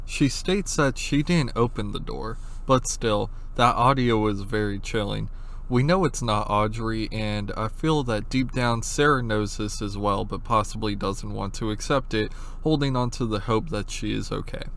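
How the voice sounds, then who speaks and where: A man's voice: fairly low pitch, normal speed, fairly bright tone, a little hiss or hum. A man giving narrated analysis commentary, explaining in an informal way with casual asides. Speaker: video essayist, horror series analyst.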